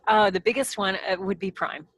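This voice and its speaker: A woman, an author on a podcast panel, chatting casually and haltingly as she searches for words. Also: medium pitch, no echo. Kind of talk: casual chat.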